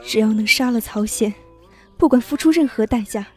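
A woman plays a wistful young heroine in an audio drama, soft and longing yet bound to revenge. Her voice is fairly high, with faint music underneath. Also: normal speed, natural voice. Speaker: voice actor. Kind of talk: Chinese audio drama acting.

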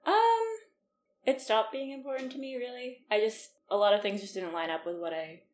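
A woman's voice: on the high side, normal speed, darkish tone, roomy sound, a little hiss or hum. A woman as a podcast guest in casual chat, candid and laughing easily.

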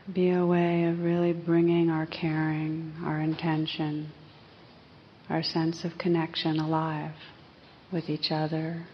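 A woman teaching a guided meditation in a gentle, unhurried way, pausing between phrases. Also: low voice. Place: live evening group session. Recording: slightly muffled live recording, faint hiss.

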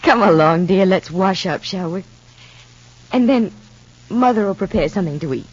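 A woman's voice: medium pitch, normal speed, lightly acted. A woman playing an anxious, doting mother in a 1950s radio soap opera, with a gushing, emotional delivery. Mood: tender, fussing. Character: anxious, doting mother overjoyed at son's return. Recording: muffled old radio recording.

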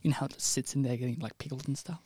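Guy doing a deadpan silly story bit, dry close mic. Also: mid-range voice, racing, slightly rough, no room sound. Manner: mock-dramatic, deadpan. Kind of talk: improvised comedy storytelling.

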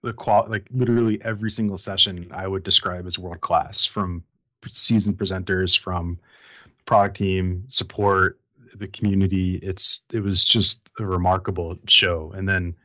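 A man from Tennessee, chatting as a guest on a video call in a friendly, fast, rambling way. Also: low-pitched, fairly even intonation, bright, forceful tone, dry recording, dull audio. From Tennessee, USA. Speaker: guest, enterprise architect. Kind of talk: casual video-call chat.

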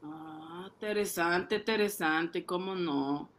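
Boastful male street vendor, high bright voice, exclamatory, cajoling, comic radio drama. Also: takes it slowly, a little hiss or hum. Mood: eager, curious. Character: excitable, boastful ice-cream seller turned would-be banker, male.

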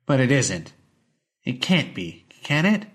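A man voicing a brisk, disbelieving middle-aged woman scientist in an audiobook story, with emphatic, questioning delivery. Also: mid-range voice, very animated, pitched above the performer's own voice. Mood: skeptical, worried.

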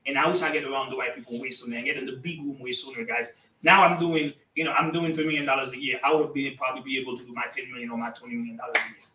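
Energetic bright-voiced man, echoey and distant. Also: mid-range voice, racing, few pauses. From Haiti. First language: Haitian Creole. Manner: energetic, emphatic, addresses listeners as guys. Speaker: guest entrepreneur. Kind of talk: motivational interview talk.

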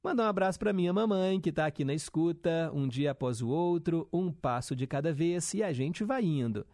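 A man's voice: fairly high pitch, animated, moderate pace, darkish tone, no echo, studio quality. A young male radio host, chatting casually and reading listener messages with upbeat teasing asides.

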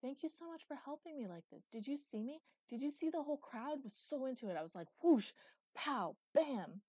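A woman voicing a cocky, playful teenage boy acrobat in an audiobook, chattering in an excitable, teasing, boastful way. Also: mid-range voice, normal speed, no room sound, close to an everyday voice. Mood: playful, gushing. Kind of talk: audiobook character dialogue.

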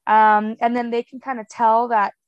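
A woman's voice: on the high side, little pitch movement, normal speed, clear, resonant tone, no room sound, muffled recording. Young woman who stutters, dry sound. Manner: stutters with repeated sounds and blocks; frank and chatty, many fillers. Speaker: podcast guest. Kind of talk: casual conversational interview.

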